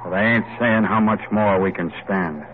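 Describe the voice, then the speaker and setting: An actor playing a cold, hungry, disheartened sentry, folksy and plain-spoken, in old-time radio drama. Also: male voice, lowish voice, normal speed, very noisy, natural voice. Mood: weary, grumbling. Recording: dull vintage radio sound, loud music.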